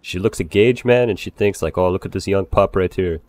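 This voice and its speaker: A man's voice: deep voice, brisk, darkish tone. A man role-playing in casual improvised chat and storytelling, rambling and swearing freely as he goes. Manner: profane, rambling. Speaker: role-play gamer telling a story in character.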